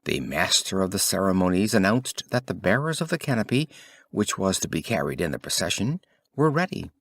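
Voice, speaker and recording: A man reading a scripted fairy tale as an audiobook narrator, in an expressive, theatrical storytelling manner. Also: low voice, fairly quick, no room sound, clean studio sound.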